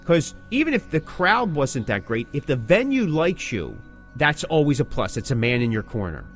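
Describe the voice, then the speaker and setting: Emphatic, high-pitched male podcast co-host. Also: very animated, normal speed, soft background music. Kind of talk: casual talk about the music business, with scripted sign-offs.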